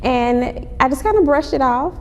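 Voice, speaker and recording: A woman's voice: lively intonation, normal speed. A woman giving polite, conversational answers as an interview guest. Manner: polite, says ma'am to the interviewer. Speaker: interview guest, playwright and actress promoting her stage play. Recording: echoey hall, clear rumbling background noise.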